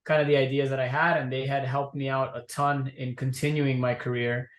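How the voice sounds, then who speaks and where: Young male guest from Miami, mid pitch, relaxed storyteller, roomy echo. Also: fairly quick. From Miami, USA. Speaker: entrepreneur guest. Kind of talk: casual interview.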